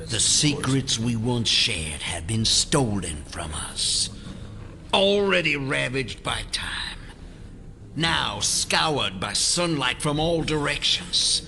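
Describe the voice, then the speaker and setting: An actor playing a grave, resigned old elder of a secret syndicate, speaking formal, halting oration in an audio drama. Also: male voice, mid-range voice, very animated, vocal fry, pushed and bright, a little hiss or hum, full character voice. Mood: solemn farewell. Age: older.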